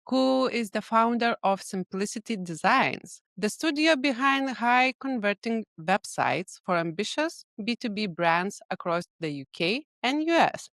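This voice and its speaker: A woman's voice: mid-range voice, fairly bright tone, no room sound. Woman, podcast host, effusive, searches for words, dry recording. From Lithuania. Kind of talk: podcast interview.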